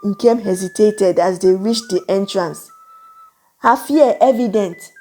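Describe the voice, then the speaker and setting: A woman narrating a scripted folktale in a measured, suspenseful, dramatic way. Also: mid-range voice, dark tone, faint music behind. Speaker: storyteller, narrator.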